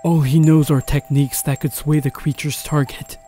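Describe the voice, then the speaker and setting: A man playing a stern, grave old village grandfather in a horror audio drama, speaking solemnly and deliberately. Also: fairly high pitch, flat delivery, mellow, faint music behind, close to an everyday voice.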